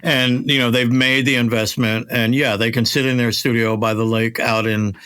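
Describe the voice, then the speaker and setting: A man's voice: mid-range voice, level tone, moderate pace, pushed and bright, clean tone. A middle-aged man talking shop casually as an audio producer, in an emphatic, conversational way.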